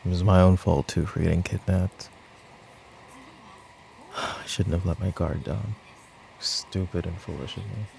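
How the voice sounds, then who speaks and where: A man playing a weary, exasperated mafia boss in an ASMR audio drama, talking in a dry, halting way. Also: deep voice, fairly even intonation, creaky, a little mellow, slight background noise, full character voice. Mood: resigned, self-blaming. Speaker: voice actor in audio roleplay.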